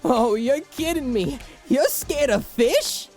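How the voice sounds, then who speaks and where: A woman's voice: high-pitched, lively intonation, takes it slowly, a little mellow, faint music behind, heavily acted. A woman playing a brash, mocking young woman in an audio drama, teasing and incredulous. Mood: mocking, amused.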